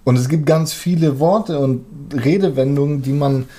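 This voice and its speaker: A man's voice: on the high side, normal speed, warm tone. A man talking casually in colloquial language as a musician, often hesitating while he searches for words. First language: German. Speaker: musician, rapper. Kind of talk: casual conversation.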